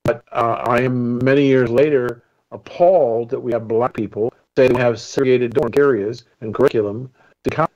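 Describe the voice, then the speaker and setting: A man's voice: mid-range voice, fairly even intonation, normal speed, darkish tone, low-quality recording. Earnest, impassioned male panelist. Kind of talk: opinionated radio talk.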